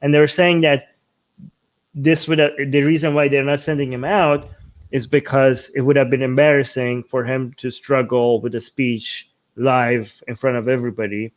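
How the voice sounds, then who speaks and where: A man from Iran whose first language is Persian talks politics casually as a show host. His voice sits at a middle pitch. He rambles through his points, circling back to repeat and reword them. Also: level tone, moderate pace. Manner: rambling, repeating and rephrasing himself.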